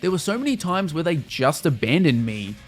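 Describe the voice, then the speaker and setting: Man, slightly high pitch, scripted review narration, wry, casual, faint music behind. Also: big pitch swings, brisk. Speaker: video reviewer. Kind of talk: scripted video essay narration.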